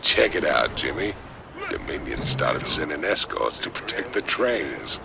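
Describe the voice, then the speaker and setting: A burly, cocky outlaw in a video game. His voice is extremely deep and full of gravel, rough and informal, under loud noise. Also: very animated, unhurried, heavily acted. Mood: gruff, wary.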